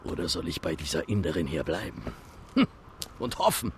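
An actor in a radio drama playing a bumbling detective who mutters erratically and pities himself. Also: male voice, low-pitched, animated, brisk, creaky, a little hiss or hum. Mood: self-pitying. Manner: muttering, self-pitying, erratic. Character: bumbling male detective, self-pitying.

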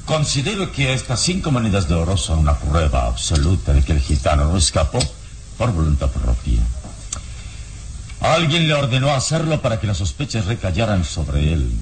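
An actor playing a grave, commanding heroic investigator in a radio drama, speaking deliberately with dramatic pauses. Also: male voice, low voice, huge pitch swings, slight background noise. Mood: grave, accusing.

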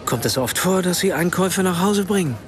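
An actor playing a polite, curious German writer in a radio drama, asking formal questions. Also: male voice, high voice, animated, fairly quick.

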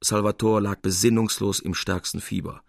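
A man reading literary prose as a narrator, in a measured and expressive storytelling manner. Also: lowish voice, vocal fry, dry recording, clean studio sound.

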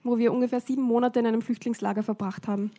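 A woman's voice: fairly high pitch, fairly even intonation, very fast, warm tone. A woman interpreting a church service live, phrase by phrase, with frequent hesitation fillers.

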